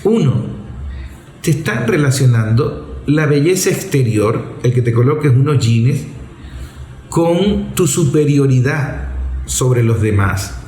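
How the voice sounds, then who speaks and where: A man's voice: mid-range voice, warm tone. A man giving explanatory opinion commentary in a didactic, emphatic way, posing rhetorical questions. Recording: roomy, echoing voice recording.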